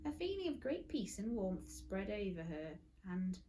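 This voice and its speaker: Animated woman reading a children's story. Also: mid-range voice, a little slow, a little hiss or hum. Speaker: teacher reading aloud. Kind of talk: expressive children's story narration.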